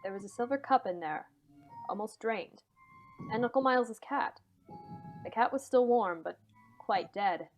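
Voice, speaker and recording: A woman's voice: a little high, unhurried, long pauses, soft background music, lightly acted, low-quality recording. Woman playing an anxious, stammering man. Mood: troubled, confiding. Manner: hesitant, stammering. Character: anxious man confiding a suspected family murder. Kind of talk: old-time radio mystery acting.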